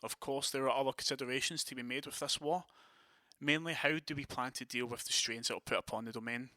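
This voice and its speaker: A man's voice: mid-range voice, fairly even intonation, fast talker, bright, forceful tone, no room sound, studio quality, close to an everyday voice. A man playing a commanding, decisive vampire prince in an audio drama, with a formal, authoritative manner. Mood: firm, assured.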